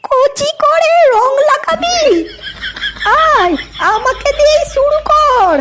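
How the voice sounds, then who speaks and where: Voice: very high-pitched, animated, slow, noisy background, heavily acted. A voice actor playing a scolding, whiny cartoon housewife or granny in a comedy dub, squawking in an exaggerated way. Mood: brash, scolding.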